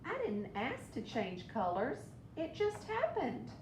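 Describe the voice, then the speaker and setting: An excitable young male leaf from a children's storybook, voiced by a woman reader. He is proud and earnest and tends to exclaim. The pitch is lifted, and the room is echoey with a clear rumble behind. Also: slow, full character voice. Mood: earnest, protesting. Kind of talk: children's storybook read aloud.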